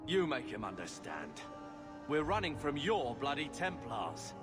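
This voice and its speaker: An intense, frustrated young man in a fantasy game. He has a medium-pitched voice and a bitter, earnest edge. The sound has some reverb and light music behind it. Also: very animated, measured pace. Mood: urgent, pleading. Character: hot-headed, brooding young man, fugitive younger brother.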